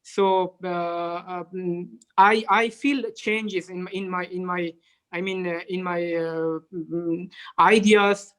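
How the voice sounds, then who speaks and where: A man with an Afghan accent giving unscripted interview answers as a guest, courteous, halting and hesitant. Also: high voice, fairly even intonation, fairly bright tone, smooth. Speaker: interview guest, development professional.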